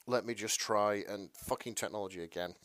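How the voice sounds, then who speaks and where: A man's voice: lowish voice, fairly quick, a bit bright, no echo. A man co-hosting a podcast in casual chat, talking in a hesitant, sweary way. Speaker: podcast co-host.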